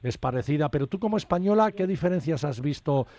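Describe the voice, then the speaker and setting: A man's voice: on the high side, expressive, fast, mellow. A man co-hosting a radio show, chatting in a casual, jokey way with lots of fillers. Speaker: radio host.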